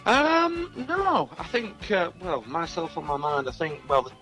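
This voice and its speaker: Guy in his 40s, songwriter being interviewed, bright forward voice, chatty. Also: a little high, animated, measured pace, faint music behind. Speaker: musician guest, singer-songwriter. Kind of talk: casual interview chat.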